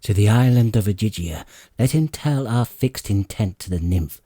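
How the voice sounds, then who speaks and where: A man voicing an earnest goddess pleading with her father in an audiobook, reverent and impassioned, in his low husky voice. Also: lively intonation, moderate pace, mellow, no room sound, clean studio sound. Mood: reverent, resolute.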